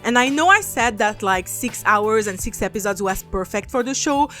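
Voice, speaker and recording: A young woman giving casual, opinionated commentary on a show, animated with lots of "like" fillers and emphatic repeats. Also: on the high side, moderate pace, faint music behind. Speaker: video essay host reviewing a show.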